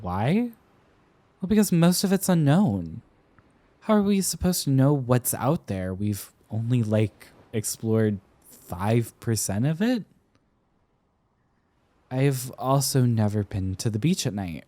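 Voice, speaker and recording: Casting: male actor as smitten young boyfriend for roleplay ASMR, mellow and unhurried, playful, tender, slightly halting. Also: mid-range voice, expressive, dry recording. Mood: wistful. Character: affectionate, teasing young man smitten with an old friend. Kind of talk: intimate roleplay ASMR acting.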